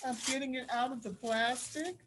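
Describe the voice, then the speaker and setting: A woman reading a storybook aloud to children, explaining things simply and deliberately like a school librarian. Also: high-pitched, fairly bright tone, a little hiss or hum. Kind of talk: read-aloud storytelling with teacherly asides.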